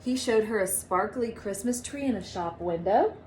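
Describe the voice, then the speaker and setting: A woman reading a children's storybook aloud in an expressive, emphatic manner. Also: medium pitch, normal speed, a little hiss or hum. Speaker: storybook reader, teacher.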